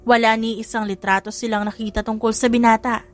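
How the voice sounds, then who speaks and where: A woman's voice: on the high side, little pitch movement, fairly quick. A woman reading a scripted love story as a dramatic audiobook narrator. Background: music.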